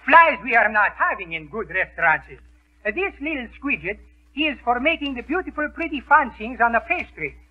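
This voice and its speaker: Greek restaurant owner, super high excitable voice, garbled english, tinny 1930s radio comedy. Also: male voice, fairly quick. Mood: helpful, warning. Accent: Greek, put on. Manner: garbled grammar, mock-learned phrasing. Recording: muffled, band-limited 1930s radio recording.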